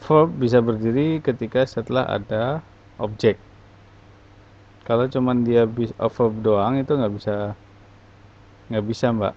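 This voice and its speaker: A man's voice: mid-range voice, a little slow, long pauses. Male teacher, easygoing Q&A, filler-heavy explaining, lo-fi muffled sound. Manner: relaxed, explains with many fillers. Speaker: English teacher. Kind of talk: casual online class Q&A.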